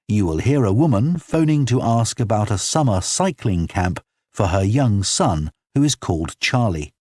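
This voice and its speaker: A man reading scripted exam instructions in a formal, measured announcing manner. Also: on the low side, lively intonation, a little mellow, breathy edge, no room sound. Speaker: exam narrator.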